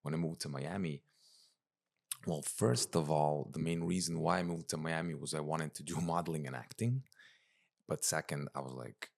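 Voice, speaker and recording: A man in his thirties from Serbia with a gravelly, husky voice, talking casually as an interview guest. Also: very deep voice, fairly even intonation, normal speed. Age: 30s. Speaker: interview guest, breathwork and spiritual coach. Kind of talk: casual conversational storytelling.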